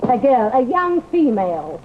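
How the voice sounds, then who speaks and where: Shrill-pitched, nagging radio housekeeper. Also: female voice, very animated, moderate pace. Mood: exasperated. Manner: scolding, chattery. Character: nagging, excitable female housekeeper, outspoken and exasperated. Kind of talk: old-time radio drama acting. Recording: muffled, noisy old radio recording.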